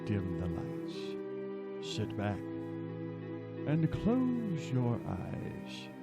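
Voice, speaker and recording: A man narrating and announcing a scripted old-time radio program in a gravelly voice. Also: medium pitch, huge pitch swings, extremely slow, loud music behind the voice.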